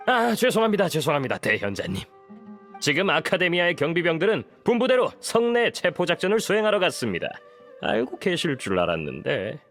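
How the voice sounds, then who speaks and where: A man's voice: on the high side, very animated, brisk, a bit bright, faint music behind. An actor playing a timid, apologetic male underling scholar in a game, deferential and hesitant. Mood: flustered, anxious. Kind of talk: dramatic Korean game voice acting.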